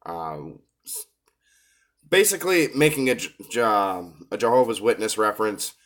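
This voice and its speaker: Deep-voiced man with a cold. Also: expressive. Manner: hesitant, many filler pauses. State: coming down with a cold. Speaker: reaction video host. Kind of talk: casual commentary on a rap song.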